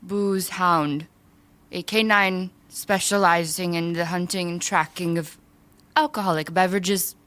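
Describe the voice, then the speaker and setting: A slow, pompous drunk woman. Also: lowish voice, steady tone, fairly bright tone. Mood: drunk, proud. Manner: slurred drunken, over-formal, deliberate. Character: very drunk woman official, pompously literal, proud and wobbly. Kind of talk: audio drama acting.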